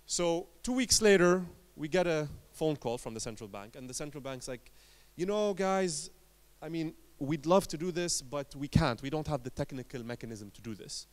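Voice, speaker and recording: A man from Lebanon giving a conference talk as an entrepreneur, telling his story in short emphatic phrases with dramatic pauses. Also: on the high side, expressive.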